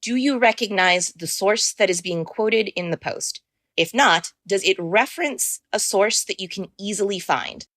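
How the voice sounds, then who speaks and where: A woman's voice: medium pitch, moderate pace, fairly bright tone, no room sound. A woman giving explanatory remarks as an expert interviewee.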